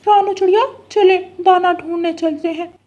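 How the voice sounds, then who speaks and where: A woman's voice: very high voice, fairly even intonation, moderate pace, dark tone, lo-fi sound, voice pushed up. A woman voicing a friendly, carefree male parrot in a cartoon story. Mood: cheerful.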